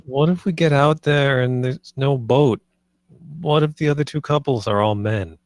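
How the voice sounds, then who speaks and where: A man's voice: medium pitch, normal speed, no echo, lightly acted. Hesitant British husband, read by a man, very dry sound. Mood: cautious. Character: nervous, earnest husband proposing swinging to his wife. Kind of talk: film script table read, naturalistic dialogue.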